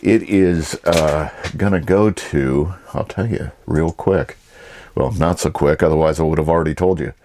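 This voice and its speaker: A man's voice: very deep voice, moderate pace, a little mellow. A man giving a casual, rambling and easygoing vlog talk as an online reseller.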